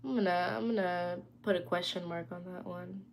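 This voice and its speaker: A woman's voice: fairly low pitch, steady tone, moderate pace, creaky, a little hiss or hum. A young woman chatting casually on a live stream from her phone at home, reading and answering viewers' comments. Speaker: livestreamer. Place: indoors at home.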